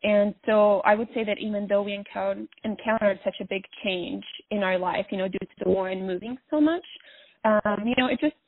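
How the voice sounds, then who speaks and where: A woman's voice: medium pitch, fairly quick, no room sound. Earnest woman from Bosnia calling in, thin phone-line sound. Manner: earnest, reflective, with frequent fillers. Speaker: podcast guest. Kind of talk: phone interview. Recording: dry tinny phone line.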